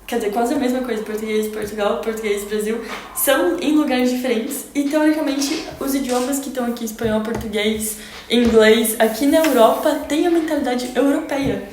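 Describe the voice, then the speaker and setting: A woman co-hosting a podcast, chatting casually with lively exclamations and quick reactions. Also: fairly high pitch. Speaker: podcast co-host. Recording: very echoey room, faint noise.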